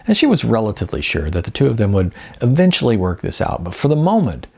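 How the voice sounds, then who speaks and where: A man narrating a scripted story as an audiobook reader, with a gravelly, husky voice. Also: fairly low pitch, huge pitch swings, fast, a little mellow.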